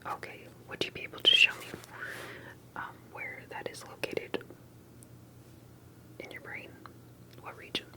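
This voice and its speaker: A woman whispers throughout in a soft, breathy, unvoiced tone. She plays an interviewer in an ASMR roleplay, posing her questions carefully and deliberately. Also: rough recording.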